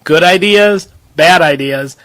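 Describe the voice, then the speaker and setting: A man's voice: fairly high pitch, slow pace, a bit bright. A man narrating a scripted piece of sports history.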